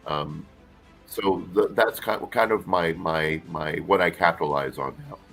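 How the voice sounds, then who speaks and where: A middle-aged man, an actor guest with a deep voice, talking casually in an interview with lots of fillers and restarts. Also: measured pace, faint music behind. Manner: relaxed, rambling, many fillers and restarts.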